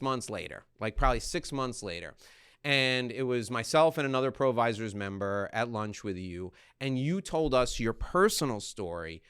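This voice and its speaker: Casual male podcast host, clear smooth voice. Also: medium pitch, a bit bright. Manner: chatty, informal storytelling. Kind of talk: casual anecdotal interviewing.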